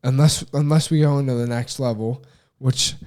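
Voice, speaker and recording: Mellow young man musing casually. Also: mid-range voice, fairly even intonation, normal speed, clear, resonant tone. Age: young adult. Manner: earnest, rambling, heavy use of "like" and "dude". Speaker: podcast co-host. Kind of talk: casual chat.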